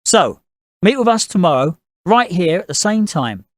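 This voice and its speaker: A man's voice: high voice, sing-song, normal speed, no room sound, clean studio sound, pitched above the performer's own voice. A man voicing an assertive, polite family patriarch in audiobook dialogue, commanding and businesslike. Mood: confident, businesslike. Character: assertive gentlemanly father, a shrewd, moneyed family patriarch.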